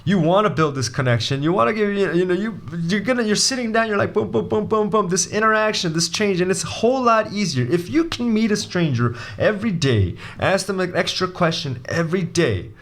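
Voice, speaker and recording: A young man from Brazil giving a casual video lesson as an MBA admissions coach, animated and jokey, often restarting his sentences. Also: high-pitched, brisk, few pauses.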